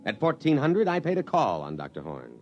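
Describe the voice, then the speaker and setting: An actor playing a stiff, by-the-book army officer who narrates a 1950s radio drama in an official manner. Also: male voice, medium pitch, wildly swooping pitch, brisk, a little mellow, dull audio, soft background music. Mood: dry, self-satisfied.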